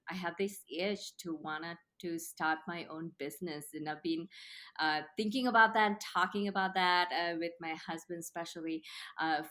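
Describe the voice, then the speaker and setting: A woman in her thirties from Sri Lanka, answering interview questions in a measured, thoughtful way with frequent "uh" pauses. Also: lowish voice, fairly bright tone. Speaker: company founder, guest.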